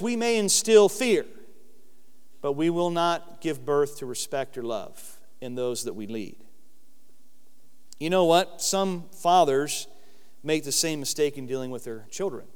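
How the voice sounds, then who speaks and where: A man with a loud voice giving an earnest Bible lesson in sermon style, stressing key words slowly. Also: mid-range voice, clean tone, big-room sound. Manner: earnest, emphatic with slow stressed phrases. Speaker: Bible teacher.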